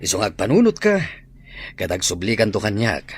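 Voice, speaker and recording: A man's voice: on the low side, huge pitch swings, fairly quick, slightly rough, exaggerated voice. A man playing a solemn, persuasive school principal in a radio drama, grave and authoritative.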